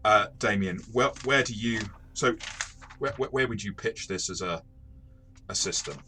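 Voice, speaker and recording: A man with a fairly low voice and a bright, forceful edge hosts a relaxed review chat. He speaks in a halting way, circling back over words and often chuckling as he talks. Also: slight background noise. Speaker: host, game master reviewing tabletop RPGs. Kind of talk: casual review discussion.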